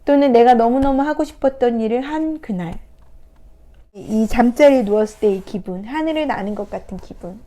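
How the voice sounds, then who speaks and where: A woman counselor giving a reflective talk, retelling a picture book in a gentle, unhurried way with soft hesitations. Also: on the high side. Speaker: counselor and coach. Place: recording her first video talk.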